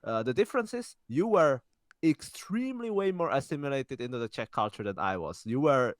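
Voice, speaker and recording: A young man co-hosting a podcast, speaking casually in halting sentences with many restarts and fillers. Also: mid-range voice, sing-song, no room sound, clean studio sound. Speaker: podcast co-host. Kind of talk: casual conversational chat.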